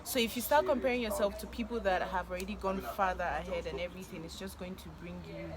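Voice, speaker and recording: A woman's voice: mid-range voice, steady tone, fast. A woman hosting a casual interview, thoughtfully summarizing her guest's points with many fillers. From Nigeria. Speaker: vlog host. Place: outdoors by a busy street. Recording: loud crowd and traffic noise outdoors.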